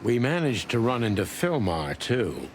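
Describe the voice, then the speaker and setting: A man's voice: mid-range voice, sing-song, measured pace, noisy background. A man playing a tough, laconic rooster police detective in a noir adventure game, with a dry hard-boiled manner.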